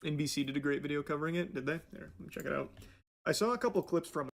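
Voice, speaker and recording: Male commentator, fairly high pitch, casual and opinionated, sarcastic, scornful delivery. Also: very fast, creaky.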